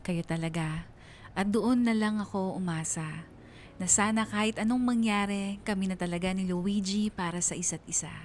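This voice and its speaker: A woman's voice: medium pitch, a little hiss or hum, natural voice. A woman playing a young woman who narrates her own story of love and dreams in a radio drama.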